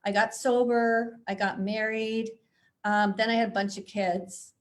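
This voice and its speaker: A woman's voice: on the high side, little pitch movement, a little slow. Chatty self-deprecating woman author. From Chicago, USA. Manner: self-deprecating, laughs often. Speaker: author guest. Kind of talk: conversational interview and book reading.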